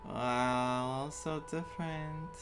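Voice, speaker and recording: Streamer thinking out loud while playing, really invested in the story, medium pitch, game music behind. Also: fairly even intonation, very slow. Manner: emotionally invested, thinks aloud. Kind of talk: live gameplay reaction commentary. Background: video game music.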